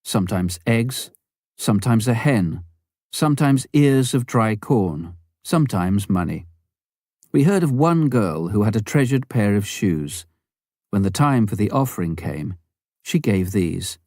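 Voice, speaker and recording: A man narrating a missionary memoir as an audiobook, in a measured storytelling manner with careful phrasing. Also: fairly low pitch, expressive, darkish tone, dry recording, clean studio sound.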